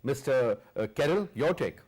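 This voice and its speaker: A man's voice: mid-range voice, lively intonation, moderate pace, darkish tone, slightly rough. A man, hosting a TV interview and pressing his guest with emphatic questions. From Pakistan. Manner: emphatic, questioning, repeats words. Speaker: TV host.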